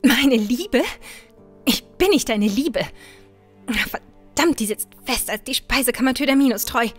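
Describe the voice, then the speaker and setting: Woman acting a stubborn brash sailor girl, defiant, highish voice, fantasy audio play with music behind. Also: a little slow. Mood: irritated. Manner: defiant, emphatic, swearing. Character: headstrong adventurous young riverboat woman, brash and impatient. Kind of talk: fan-made fantasy audio drama acting.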